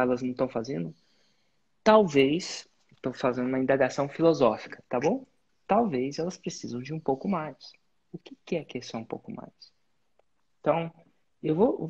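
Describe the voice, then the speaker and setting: A man's voice: on the high side, gravelly, darkish tone, breathy edge, dull audio. A man hosting a live coaching session, giving direct, instructive advice to students. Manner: direct, instructive, uses sports-belt metaphors. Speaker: business mentor and host.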